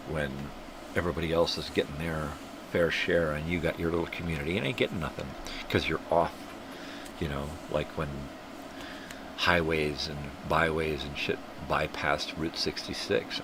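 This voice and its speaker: An older man hosting a live stream, rambling through casual, profane tangents while sniffly from a cold. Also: rumbling low. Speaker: livestream host. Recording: clear background hum.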